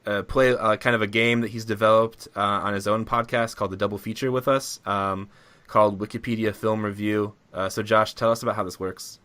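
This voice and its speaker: A man's voice: fairly low pitch, flat delivery, brisk, bright, forceful tone, no echo. A man hosting a podcast in a casual, conversational style. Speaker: podcast host. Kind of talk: casual chat.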